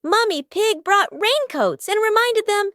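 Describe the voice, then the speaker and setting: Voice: very high-pitched, expressive, unhurried, pushed and bright, no room sound, clean studio sound. A narrator reading a scripted children's cartoon story.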